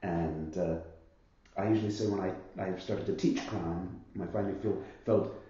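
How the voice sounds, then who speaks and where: A husky man in his fifties with a cold speaks in a low, mellow voice, weighing each thought and circling back as he goes. The sound is muffled and heavily echoey, like a stream from a bare room. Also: steady tone, normal speed. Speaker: guest, actor and theatre director. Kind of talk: reflective conversation about his career.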